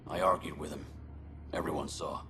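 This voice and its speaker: Casting: a broken convict in a sci-fi game, low creaky voice, weary and subdued. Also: male voice, fairly even intonation, normal speed. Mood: resigned, remorseful. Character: defeated male colonist convicted of murder, remorseful. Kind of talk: video game cutscene acting. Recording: loud music behind the voice.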